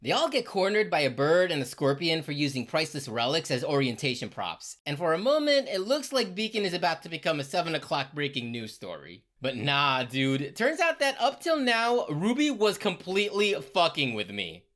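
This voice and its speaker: Low-pitched, highly animated sarcastic woman narrator. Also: moderate pace, hardly any pauses. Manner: sarcastic, slangy, irreverent. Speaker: narrator of comedic series recap. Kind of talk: scripted comedic narration.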